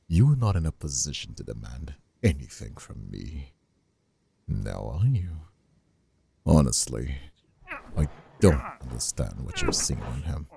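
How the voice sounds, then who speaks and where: A man playing a menacing, smug mobster brother in an audio roleplay, gravelly and husky, with mock-polite, drawn-out taunts. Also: very deep voice, warm tone, heavily acted. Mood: taunting. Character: menacing, taunting mobster brother, escaped convict and crew leader. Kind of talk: scripted audio roleplay acting, ASMR.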